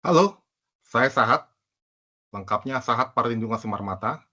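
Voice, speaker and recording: Bright-voiced man in a lo-fi recording. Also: medium pitch, lively intonation, normal speed. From Palembang. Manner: proud, formal self-introduction. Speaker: student presenter. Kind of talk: class presentation.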